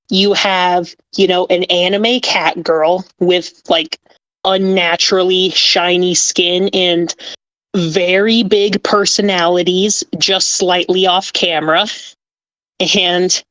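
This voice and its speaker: Slow-talking playful woman, dry sound. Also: on the low side, fairly even intonation, fairly bright tone, dry recording, rough recording. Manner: playful, enthusiastic. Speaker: ASMR script writer on a podcast panel. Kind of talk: casual podcast chat.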